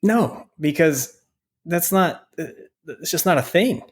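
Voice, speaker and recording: A man's voice: on the high side, lively intonation, takes it slowly, breathy edge. Male podcast co-host, thirties, informal and wry, conversational, repeats himself for emphasis. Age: 30s. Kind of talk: casual chat.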